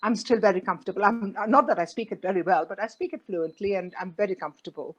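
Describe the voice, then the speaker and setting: A woman's voice: medium pitch, fast talker, breathy edge, dull audio. A middle-aged woman from India with an Indian accent, answering interview questions thoughtfully with many ums and uhs. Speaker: guest.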